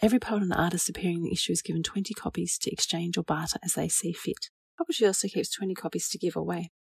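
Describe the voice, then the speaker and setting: A woman's voice: on the low side, fairly even intonation, fast talker, gravelly, slightly rough, dry recording. A woman hosting a poetry programme, reading scripted narration. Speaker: podcast host.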